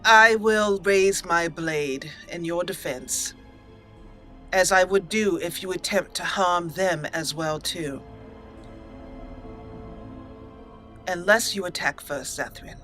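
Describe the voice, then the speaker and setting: A woman voicing her own game character, a young female elf mage, in a measured, solemn way. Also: lowish voice, pushed and bright, soft background music. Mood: firm. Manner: measured, solemn role-play reading.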